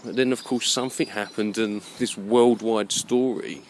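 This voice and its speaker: A man giving a rambling, unscripted monologue in a halting, musing way, often repeating his phrases. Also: on the low side, steady tone, a little mellow, a little hiss or hum. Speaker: vlogger.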